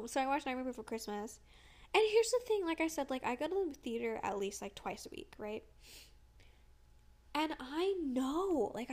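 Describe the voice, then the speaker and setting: A young woman with a somewhat high voice chats in a loose, rambling way, dropping "like" into nearly every phrase. Her nose is stuffed up, which gives her voice a congested sound. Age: young adult. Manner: rambling, full of "like", laughs often. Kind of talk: casual vlog chat.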